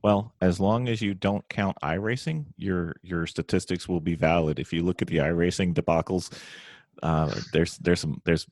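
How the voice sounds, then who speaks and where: Brief: male podcast host, very deep voice, dry close sound. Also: steady tone, normal speed, darkish tone, no echo. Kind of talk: casual podcast interview.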